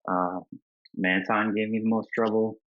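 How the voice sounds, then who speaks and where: A man from New York State with a deep voice explains his views casually as a panel guest. The recording is dry and slightly muffled, like an online call. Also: flat delivery, a little slow, no echo. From New York State, United States. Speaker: tournament player, panel guest. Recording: dull, lo-fi voice call.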